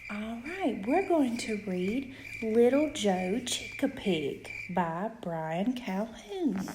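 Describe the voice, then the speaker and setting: A woman reading a rhyming bedtime storybook aloud to a child in an expressive, rhythmic way. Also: medium pitch, takes it slowly, background noise. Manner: rhythmic, animated read-aloud with emphatic questions.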